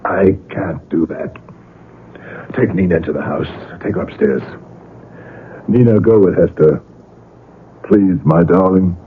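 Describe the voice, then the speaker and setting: An actor playing an anxious, possessive middle-aged father in a 1970s radio drama, earnest and halting as he pleads. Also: low-pitched, a little hiss or hum. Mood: tense, pleading. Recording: muffled vintage radio broadcast.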